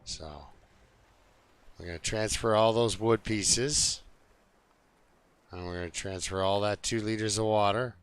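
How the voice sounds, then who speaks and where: A man's voice: on the low side, measured pace, pauses often, clear, resonant tone. A man live streaming a video game, chatting casually and jokingly to his viewers in an easygoing, rambling way.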